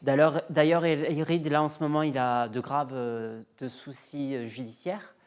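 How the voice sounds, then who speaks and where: Guest in a candid interview, middle pitch, really emphatic, repeats stuff for effect, sure of themselves. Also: steady tone, normal speed, clear, resonant tone. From south of France. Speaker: guest, music artist.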